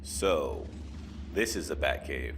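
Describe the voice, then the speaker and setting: Guy playing a confident superhero, really really deep, wry and chatty, noisy recording. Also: animated, takes it slowly, full character voice. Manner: conversational, wry. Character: confident, wry, good-hearted male alien superhero. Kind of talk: audio drama acting.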